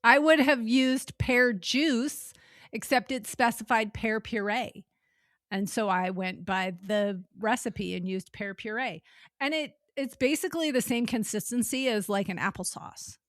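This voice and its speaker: A woman's voice: on the high side, a little slow, fairly bright tone, no room sound, studio quality. A woman co-hosting a podcast, chatting casually in a matter-of-fact way. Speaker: podcast co-host. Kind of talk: casual chat.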